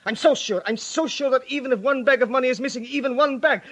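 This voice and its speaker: An actor playing a boastful, fawning Italian mobster in a comic radio play, theatrical, with an Italian accent and broken English. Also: male voice, extremely high pitch, fast talker, a bit bright, exaggerated voice. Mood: boastful, grand.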